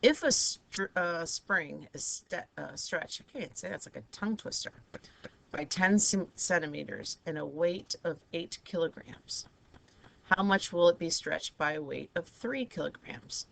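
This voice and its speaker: A woman teaching a math lesson with a raspy, hoarse voice, talking students through each step of the problems. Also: fairly low pitch, unhurried, no echo. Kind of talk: recorded math lesson over a digital whiteboard.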